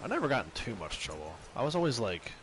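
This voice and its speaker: A young man streaming a game, chatting casually with his viewers in a loud voice that carries. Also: mid-range voice, fast talker, background noise. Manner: chatty, relaxed, answers chat. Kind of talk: casual livestream chat. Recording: game sounds clearly behind.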